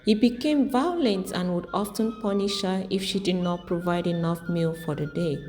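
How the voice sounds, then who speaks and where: A woman's voice: fairly low pitch, normal speed, a little mellow. A woman narrating a scripted folktale as a storyteller. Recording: long reverb, music underneath. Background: music.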